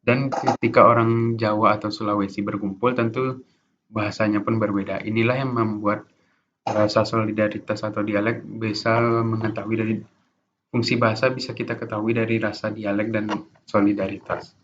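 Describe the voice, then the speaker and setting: A young man with a fairly low voice talks through a class presentation, stopping and restarting as he searches for words. The recording is dull and lo-fi with a faint hiss behind him. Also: flat delivery, normal speed, fairly bright tone. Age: young adult. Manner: hesitant, with restarts and fillers. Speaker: student presenter.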